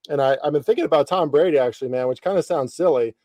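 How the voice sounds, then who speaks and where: A man in his thirties hosts a casual sports podcast. His voice is mellow and relaxed, recorded close and dry. He talks informally, with slang and the odd swear word. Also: a little high, fast, dry recording. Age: 30s. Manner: informal, lightly profane slang. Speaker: podcast host interviewing a guest.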